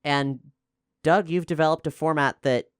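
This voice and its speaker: A man's voice: fairly high pitch, fairly even intonation, moderate pace, clear, resonant tone, no room sound, studio quality. A man hosting a casual podcast discussion, explaining things in a chatty, conversational way. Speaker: podcast host and player.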